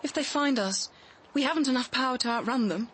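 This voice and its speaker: A woman's voice: high-pitched, a little fast, a bit bright. An actress in a radio drama playing a callous, practical and dismissive female conspirator. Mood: urgent. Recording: noisy boat engine and water.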